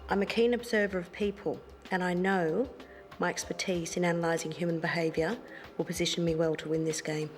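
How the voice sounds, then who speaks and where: A woman's voice: lowish voice, fairly even intonation, a little fast, faint music behind. A woman in her forties, a contestant, confident and assertive about herself. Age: 40s. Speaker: game show contestant.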